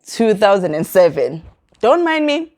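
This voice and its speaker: A woman's voice: medium pitch, big pitch swings, measured pace, darkish tone. A South African woman in her thirties from Mpumalanga chats to camera, rambling and correcting herself and dropping Zulu phrases into her English. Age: 30s. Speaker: vlogger. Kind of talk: casual chat to camera, self-introduction.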